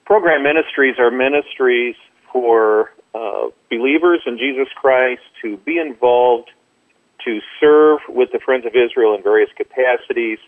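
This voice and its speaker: A man speaking as a ministry guest in an interview over a telephone, explaining things in a measured way. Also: mid-range voice. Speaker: ministry director, radio guest. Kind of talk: interview answers. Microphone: telephone call. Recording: tinny narrow-band phone line.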